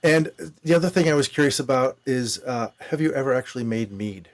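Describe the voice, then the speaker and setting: A man's voice: medium pitch, lively intonation, fairly quick. A man hosting a podcast interview in a casual, conversational style.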